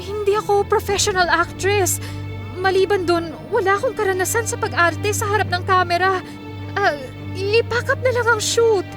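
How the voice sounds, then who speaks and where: Gentle young writer girl, high voice, polite, radio drama w/ music behind. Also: fairly even intonation, normal speed. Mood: anxious, pleading. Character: Cindy, gentle young woman writer, generous twin sister. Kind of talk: Filipino radio drama acting.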